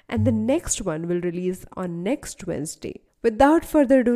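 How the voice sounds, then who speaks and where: A woman's voice: medium pitch, dark tone. A woman with an Indian English accent, hosting a podcast interview and introducing her guest, laughing often. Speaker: podcast host.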